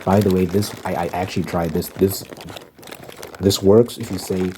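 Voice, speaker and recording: Deep-voiced man rambling in ASMR style. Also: level tone, moderate pace, noisy background. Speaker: solo host of a rambling channel.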